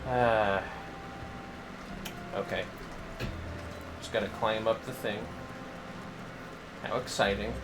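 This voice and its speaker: A man's voice: fairly even intonation, slow pace, pauses often, background noise. A man livestreaming a game, muttering casual, wry, offhand commentary to himself. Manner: low-key, wry, offhand.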